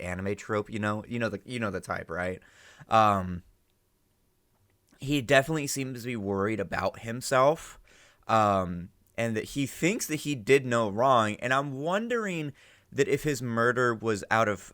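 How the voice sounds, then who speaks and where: A man's voice: fairly low pitch, sharp tone, no echo, clean studio sound. A man hosting a reaction video with casual, rambling, informal commentary.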